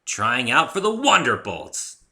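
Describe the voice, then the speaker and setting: A man's voice: mid-range voice, big pitch swings, measured pace, creaky, bright, forceful tone, pitched above the performer's own voice, exaggerated voice. A man voicing a brash, zealous young female cartoon pony athlete with a confident, boastful, eager manner. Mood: zealous, dreamy.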